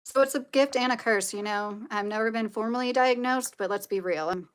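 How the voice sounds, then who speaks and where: A woman's voice: on the high side, a little fast, smooth. A woman from with a nasal voice, hosting a livestream and chatting in a rambling, opinionated way with lots of "like" and "you know.". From Kentucky, United States. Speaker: livestream host reading viewer comments. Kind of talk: casual livestream chat.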